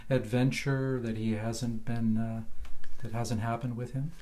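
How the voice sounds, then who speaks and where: A man's voice: mid-range voice, level tone, measured pace, dark tone. Man hosting a casual interview podcast. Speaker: podcast host interviewing a guest.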